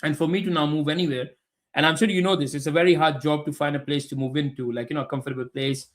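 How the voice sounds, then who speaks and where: A man from Pakistan telling his own story as a podcast guest, halting and repeating himself. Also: fairly high pitch, steady tone. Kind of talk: conversational personal account.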